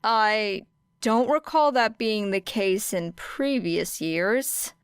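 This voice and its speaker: A woman's voice: mid-range voice, expressive, takes it slowly, smooth, dry recording, clean studio sound. A woman voicing a guarded, wary teenage schoolgirl in an audiobook, speaking carefully and with understatement. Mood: subdued, wary. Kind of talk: acted audiobook dialogue.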